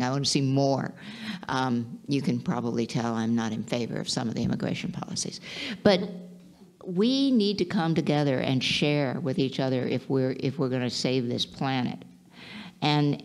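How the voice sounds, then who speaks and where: A woman entrepreneur answering on a stage panel in a large auditorium, talking with many restarts and fillers. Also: very deep voice.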